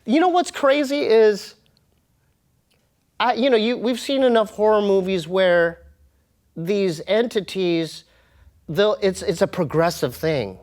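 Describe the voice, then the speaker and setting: A man telling personal stories as an interview guest, in an informal, slangy way with halting, repeated phrases. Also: sits high, darkish tone. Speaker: interview guest, comedian and podcast host. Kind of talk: casual animated storytelling.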